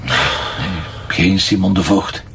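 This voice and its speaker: A man's voice: low voice, slow pace, gravelly, far from the mic, heavy background noise, full character voice. A man with a Flemish accent playing a stubborn, self-assured police commissioner in an audio drama, speaking in an insistent, dryly casual way. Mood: matter-of-fact. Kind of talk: naturalistic audio drama acting.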